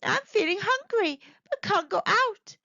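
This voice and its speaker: A small, anxious girl hedgehog from a children's storybook, acted out by a woman reading aloud. The voice is very high and fretful, with timid delivery. The recording sounds close and dry, a little dull. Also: animated, a little slow, gravelly, no room sound, exaggerated voice. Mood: timid, frightened. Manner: quiet, fretful.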